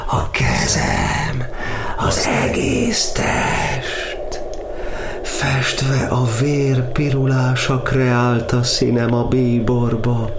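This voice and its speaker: A man's voice: mid-range voice, gravelly, heavy background noise. A man playing an imprisoned aged cardinal, a proud and devout martyr, declaiming solemn verse in a radio monodrama with dramatic swings. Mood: solemn anguish.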